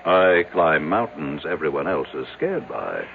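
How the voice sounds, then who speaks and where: A man's voice: extremely low pitch, huge pitch swings, measured pace, gravelly, narrow, tinny audio, full character voice. A male actor with an American accent playing an arrogant, self-made, skeptical businessman in brash old-time radio drama style, on a noisy old recording. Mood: smug. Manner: brash, self-assured, declamatory.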